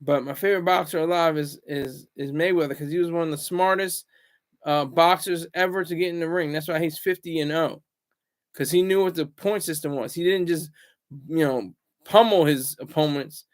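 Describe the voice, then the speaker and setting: A man hosting a casual solo commentary vlog, rambling and restarting his words, swinging between loud bursts and low asides. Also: normal speed. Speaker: commentary channel host.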